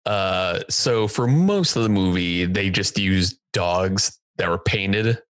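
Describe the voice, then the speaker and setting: A man's voice: low voice, takes it slowly, a bit bright, no echo. A man in his thirties co-hosting a casual film review discussion, speaking into a close podcast microphone. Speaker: podcast co-host. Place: indoor home room, remote video call. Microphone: close podcast microphone with headphones.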